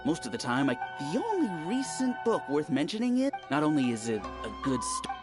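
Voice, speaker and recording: A woman's voice: sits low, wildly swooping pitch, a little fast. A woman playing an opinionated bookworm in a video game, frank and critical, with music behind her. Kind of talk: video game character acting.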